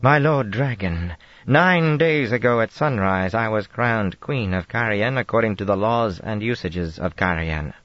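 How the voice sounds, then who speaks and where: A haughty young queen, raised in pitch and pressing hard, her protests flaring high above the storyteller's own voice, as a male narrator puts her on. The audiobook recording is dry and slightly dull. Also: a little slow, a bit bright, no room sound. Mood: defiant. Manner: haughty, insistent. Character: proud indignant young queen, woman.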